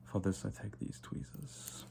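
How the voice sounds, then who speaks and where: A man voicing a haughty, domineering yet playful vampire lord in an ASMR roleplay, speaking softly and deliberately with whispered asides. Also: noisy background, close to an everyday voice. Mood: fussy, condescending.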